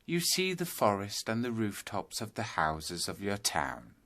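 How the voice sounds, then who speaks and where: A man narrating a scripted guided yoga nidra meditation, telling a journey in measured second-person storytelling. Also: fairly low pitch, wildly swooping pitch, a bit bright.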